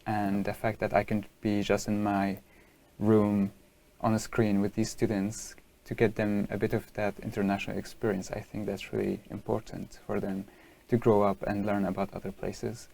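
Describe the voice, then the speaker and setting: A man's voice: lowish voice, little pitch movement, creaky, a little mellow. A young man with a Dutch accent, a student teacher reflecting earnestly on a video call. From Netherlands. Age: young adult. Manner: earnest, halting. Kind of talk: casual reflection.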